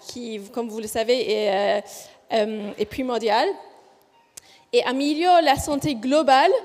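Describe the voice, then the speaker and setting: A woman from Australia with an Australian accent, presenting a research study at a conference, speaking slowly with frequent hesitations and self-corrections. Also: high-pitched. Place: large conference hall. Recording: long hall reverb.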